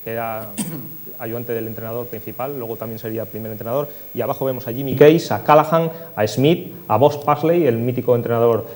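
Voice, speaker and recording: A man's voice: medium pitch, fairly quick, darkish tone. A man with an accent from Spain presents a football retrospective as host, talking conversationally through results and match action. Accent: Spanish, from Spain. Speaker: host of a football history show. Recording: noisy recording with electrical hum.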